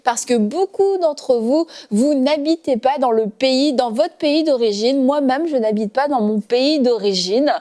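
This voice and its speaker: A woman hosting a faith talk show from a studio set, chatty and warm with laughter, using many fillers. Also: high voice, measured pace, few pauses.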